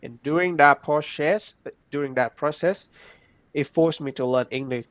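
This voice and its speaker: A young man from Vietnam with a Vietnamese accent, speaking English as a second language in a casual interview, halting, with many restarts. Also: on the high side, dry recording. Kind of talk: casual reflective chat. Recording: slightly dull, dry recording.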